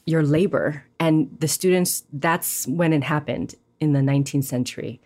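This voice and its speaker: Low-pitched lady poet, animated but thoughtful, lots of um and you know. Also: moderate pace. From Hawaii. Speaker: poet, guest. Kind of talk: reflective conversational interview.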